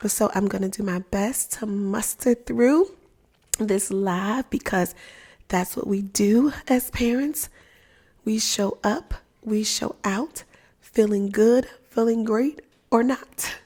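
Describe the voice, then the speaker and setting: A woman in her thirties, hoarse and raspy from losing her voice, hosting a chatty, upbeat live stream close to the mic. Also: mid-range voice, slow pace, a little mellow. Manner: chatty, upbeat, repeats herself, many fillers. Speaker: livestream host, pumping educator. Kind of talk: casual live-stream chat. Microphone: close mic, talking softly.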